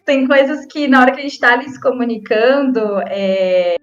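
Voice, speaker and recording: A Brazilian woman chats casually with slang and tag questions. Her voice sits fairly high, and the sound is echoey and dull, with faint music behind. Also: moderate pace. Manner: chatty, using slang and "né?" tags. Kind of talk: casual conversation.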